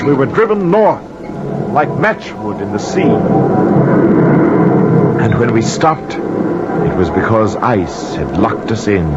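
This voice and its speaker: Haunted old mariner, slow and swooping. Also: male voice, mid-range voice, very noisy. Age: older. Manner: intense, poetic, incantatory storytelling. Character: haunted, cursed old mariner urgently compelling strangers to hear. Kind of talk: 1940s radio drama acting. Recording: dull vintage 1940s radio recording.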